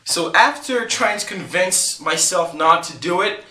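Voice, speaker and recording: Bright-voiced man telling a comic story. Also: high voice, unhurried, reverberant, poor audio. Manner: animated, joking, laughs between lines. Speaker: vlogger.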